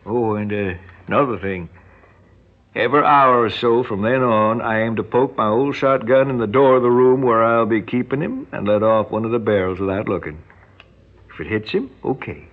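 A man's voice: lowish voice, expressive, moderate pace, lightly acted. An actor playing a folksy, menacing rural kidnapper and father with a Southern American drawl in a radio drama. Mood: folksy, threatening. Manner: drawling, folksy, colloquial talk. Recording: dull lo-fi radio recording, hissy background noise.

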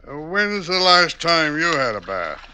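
A vain state governor in an old radio play, a man who schemes in a folksy, self-satisfied way. His voice is very sharp and swings up and down in pitch, with faint noise from the vintage recording. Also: sing-song, unhurried, full character voice. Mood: fussy, casual. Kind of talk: old-time radio drama acting.

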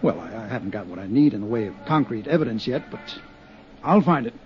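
An actor playing a steady, practical male investigator who talks in a measured, matter-of-fact way, in a muffled 1950s radio drama. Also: medium pitch, faint music behind, lightly acted. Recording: muffled 1950s radio broadcast with faint hiss.

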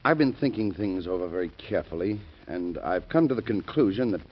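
An actor playing a cautious male politician in an old radio drama, speaking in a measured, grave way. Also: deep voice, expressive, husky. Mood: weary. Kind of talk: 1940s radio acting. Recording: dull lo-fi old radio recording.

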